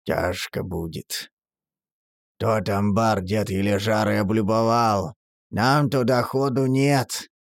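A storyteller voicing a grim, pale, toothless young villager who speaks low and heavy. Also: moderate pace, no room sound, clean studio sound, close to an everyday voice. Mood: grim, weary.

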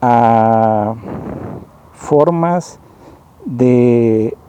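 A man with a very dark, mellow voice. He talks slowly and earnestly, pausing and rewording his phrases as he goes. His pitch sits at an ordinary middle level. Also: a little hiss or hum. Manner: slow, halting, rephrases often; earnest and explanatory. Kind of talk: spoken monologue, personal complaint.

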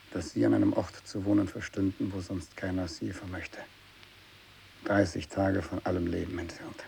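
An actor playing a tormented, bitter, fanatical renegade missionary in a radio drama monologue, brooding and intense, moving between hushed speech and anguished outbursts. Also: male voice, sits low, vocal fry, low-quality recording. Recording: steady tape hiss.

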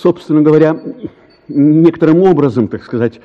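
An actor playing a flustered, evasive young bachelor in a theatrical radio play, stammering and hesitant. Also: male voice, a little high, brisk, muffled recording, close to an everyday voice. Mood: awkward, evasive. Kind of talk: theatrical radio drama acting.

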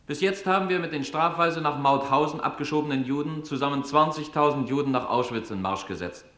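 A male SS police commander , played by an actor, speaks in a roomy, echoing space. His mid-pitched delivery is formal and clipped, reading out orders with cold, bureaucratic authority for a radio drama. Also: a bit bright, close to an everyday voice. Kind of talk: formal reading of official reports and speeches. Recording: roomy echo on the voice.

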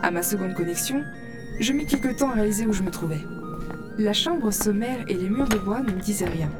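A woman's voice: mid-range voice, expressive, fairly quick, over music, natural voice. A woman playing a curious young gamer who narrates her virtual-world adventure in a composed way for an audio drama. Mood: calm narrating.

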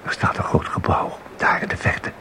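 An actor in a radio play playing a nervous, hesitant museum visitor, halting and stammering as he repeats himself. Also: pushed and bright, in a whisper, lightly acted. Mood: hesitant, uneasy.

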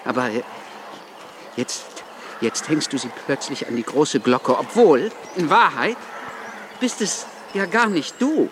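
Stammering, excitable revolutionary, higher voice. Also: male voice, background noise. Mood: agitated, hurt. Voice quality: stutter. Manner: impulsive, halting, wry. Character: stammering, hot-tempered revolutionary journalist, wry and wounded. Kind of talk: radio drama acting.

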